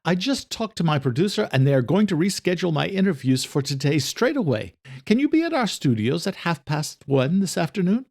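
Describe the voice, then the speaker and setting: A man voicing a gushing, brisk woman television presenter in an audiobook, with polite enthusiasm. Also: on the high side, very animated, gravelly, a little mellow, voice pushed up, exaggerated voice. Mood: thrilled, brisk.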